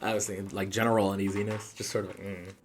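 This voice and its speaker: A man's voice: on the low side, flat delivery, fast talker, very noisy. A man talking casually as a player in a tabletop game, thinking aloud a little hesitantly as he describes characters. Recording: faint music behind the voice.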